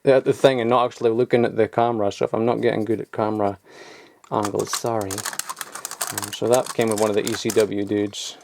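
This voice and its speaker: A man's voice: fairly low pitch, level tone, brisk, vocal fry, noisy background. A young man rambling casually as he shows off old collectible toys in his home loft, offhand and chuckling at himself. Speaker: toy collector vlogger. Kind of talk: casual show-and-tell.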